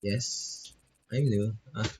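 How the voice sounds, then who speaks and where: A man's voice: lowish voice, steady tone, slow, a little mellow, slight background noise. A man voicing a shy, polite, flustered new student in a visual novel. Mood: nervous, grateful. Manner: apologetic, hesitant.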